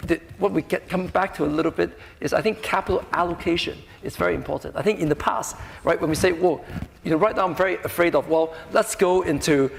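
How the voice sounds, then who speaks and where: A man's voice: a little high, fast talker, vocal fry, slight background noise. A man speaking on a panel, tagging his points with "right?" and "I think". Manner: peppers speech with "right?" and "I think". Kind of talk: panel discussion. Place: conference hall. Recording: echoey conference hall.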